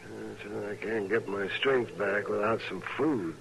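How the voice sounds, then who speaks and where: An actor playing a wounded, feverish frontier doctor, wry and resigned, speaking weakly and haltingly with an American accent in an old-time radio western. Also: male voice, on the low side, gravelly, fairly bright tone. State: weak, in pain, halting. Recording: noisy vintage radio drama recording.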